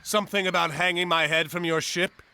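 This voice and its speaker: An actor playing a bold, resolute military leader in a video game, decisive and commanding. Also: male voice, sits high, fairly even intonation, a little fast, vocal fry, sharp tone, clear, resonant tone, exaggerated voice. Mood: grim resolve. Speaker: hero commander. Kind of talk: heroic video game cutscene acting.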